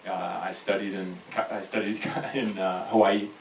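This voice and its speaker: A man's voice: sits low, level tone, normal speed, gravelly. An American man from California speaking casually and hesitantly as a game developer on a panel. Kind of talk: casual self-introduction at a panel. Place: live talk event in a room. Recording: echoey room, distant mic, faint noise.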